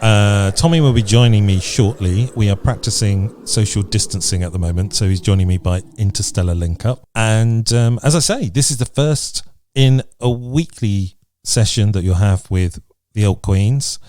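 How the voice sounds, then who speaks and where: A man's voice: fairly low pitch, normal speed, darkish tone, a little hiss or hum. A man hosting a podcast in a casual, conversational style. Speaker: podcast host.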